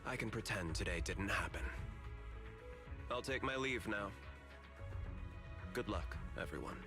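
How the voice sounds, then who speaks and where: A suave video game executive, talks in a fairly low, polished voice. He is measured and sardonic, a guarded schemer who weighs every word. Music plays clearly underneath. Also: lively intonation. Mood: coolly dismissive. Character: suave, calculating male corporate executive, guarded and cynical. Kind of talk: scripted video game dialogue.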